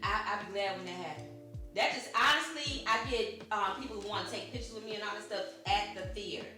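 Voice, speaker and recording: A woman's voice: medium pitch, fast talker. A woman from Detroit chatting casually as an interview guest, playful and slangy, repeating phrases for emphasis. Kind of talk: casual, animated chat. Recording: echoey room, distant mic, music behind.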